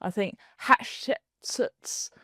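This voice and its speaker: A woman's voice: slow pace, sharp tone, no room sound, studio quality. A woman co-hosting a podcast in casual chat, chatty and jokey, laughing easily. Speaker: podcast co-host.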